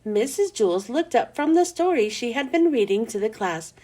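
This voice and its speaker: High, animated woman reading stories. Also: moderate pace. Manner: animated, emphatic delivery. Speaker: children's read-aloud host. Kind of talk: expressive storybook read-aloud.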